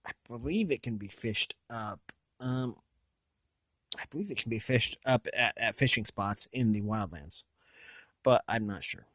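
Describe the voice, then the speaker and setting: An American man hosting a casual, unscripted review, thinking aloud in a halting, rambling way with a slightly raspy voice. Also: medium pitch, lively intonation, fairly bright tone, no echo. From United States. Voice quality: slightly raspy from long talking. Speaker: video host.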